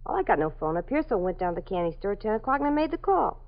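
A woman's voice: medium pitch, big pitch swings, racing. An actress playing a frightened young woman being questioned by police, defensive and pleading, in a 1950s radio drama. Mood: confessing. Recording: dull old radio broadcast recording.

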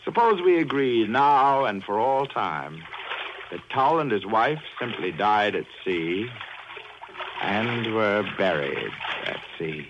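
A man's voice: lowish voice, expressive, slow, highs cut off. An actor playing a ruthless, starving castaway who becomes a murderer and narrates his own story in 1940s radio drama style. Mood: scheming. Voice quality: hard-edged, brooding first-person narration. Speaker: narrator and lead character. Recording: hissy old radio broadcast recording.

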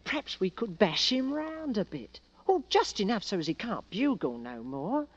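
A woman's voice: mid-range voice, sing-song, normal speed. A woman voicing a scrappy, sardonic teenage drummer boy with a Cockney accent in an old radio drama. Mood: scheming. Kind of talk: 1940s-50s radio drama acting. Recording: dull old radio recording.

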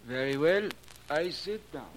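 High-voiced foreign handyman in old radio drama. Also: lively intonation, unhurried. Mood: deferential. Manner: stilted, broken English with simple present tense. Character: foreign observatory handyman who believes in astrology. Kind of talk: 1940s radio acting. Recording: noisy, clicky 1940s radio recording.

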